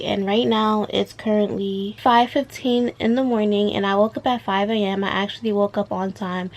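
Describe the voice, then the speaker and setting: A woman of nineteen from New York, vlogging her morning routine at home in a relaxed, rambling way, her voice raspy from just waking up. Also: mid-range voice, low-quality recording. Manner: chatty, drawn-out, filler-heavy talk. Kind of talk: casual morning-routine vlog. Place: at home, bedroom and bathroom.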